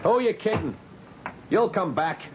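A man's voice: sits high, animated, moderate pace. An actor playing a brash, cocky young working-class American man with slangy, street-smart phrasing in a 1970s radio drama. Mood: defiant, scornful. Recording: muffled, noisy 1970s radio broadcast.

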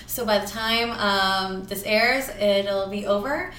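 Hoarse bubbly young woman podcast host. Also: mid-range voice, takes it slowly, pushed and bright, echoey room, slight background noise. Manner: bubbly, swears, laughs often. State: hoarse, lost voice. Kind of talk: casual podcast chat.

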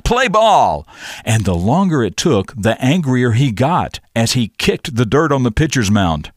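A man hosting morning radio and narrating stories in an expressive, folksy, emphatic manner. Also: medium pitch, dry recording, clean studio sound. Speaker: radio show host and storyteller. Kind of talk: morning radio talk and dramatic story narration.

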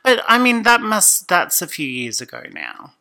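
Aussie woman chatting on a podcast, thoughtful, lots of restarts, kinda bright voice. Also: mid-range voice, huge pitch swings, normal speed. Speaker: podcast guest. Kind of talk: casual conversation.

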